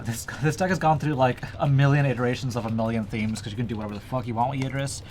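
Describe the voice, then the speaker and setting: A man playing in a casual card game stream, relaxed, laughing often and swearing casually. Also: medium pitch, fast talker, a little hiss or hum. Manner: laid-back, laughs a lot, swears casually. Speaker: player on the stream.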